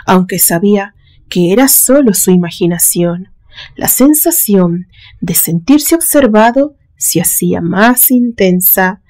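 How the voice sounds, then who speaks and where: A woman reading a literary horror story in a deliberate, suspenseful manner. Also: mid-range voice, warm tone. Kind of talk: scripted literary narration.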